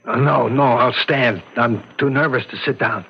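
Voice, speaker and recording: An actor playing a middle-aged working-class father who pleads anxiously and haltingly for his son in an old-time radio drama. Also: mid-range voice, vocal fry, slightly rough. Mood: nervous, urgent. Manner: pleading, agitated, halting repetitions. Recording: dull lo-fi old radio recording.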